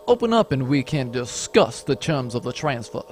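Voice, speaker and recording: A man's voice: medium pitch, big pitch swings, moderate pace, faint music behind, close to an everyday voice. A man playing a pompous, declamatory space adventurer in a comic sci-fi audio drama. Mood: smug, proclaiming.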